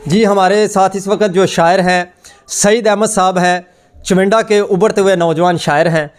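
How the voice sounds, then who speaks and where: A man hosting a poetry gathering, speaking in a formal, flowing manner and calling out drawn-out cries of approval. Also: high-pitched, fairly even intonation, faint music behind. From Pakistan. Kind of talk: formal Urdu hosting with exclamations of praise.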